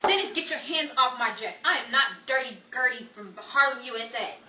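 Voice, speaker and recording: An actress playing a long-suffering, sharp-tongued wife in a 1940s-style radio comedy, scolding and quick with dry comebacks. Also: female voice, high-pitched, over a noisy crowd, natural voice. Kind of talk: 1940s old-time radio comedy acting. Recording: echoey, distant, very noisy recording.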